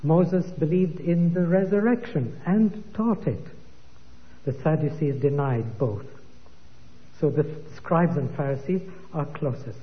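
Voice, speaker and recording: A man preaching a sermon, teaching slowly and emphatically and quoting scripture as he goes. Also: on the high side. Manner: slow, emphatic Bible teaching with quoted scripture. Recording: tinny phone-like sound in a long-reverb hall.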